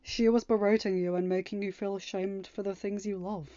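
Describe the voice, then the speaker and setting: A woman's voice: medium pitch, steady tone, brisk, mellow, no echo, lo-fi sound, close to an everyday voice. A woman voicing a devoted young royal man, fiercely protective and emphatic, in a storybook reading. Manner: emphatic, stern, near a growl when angry.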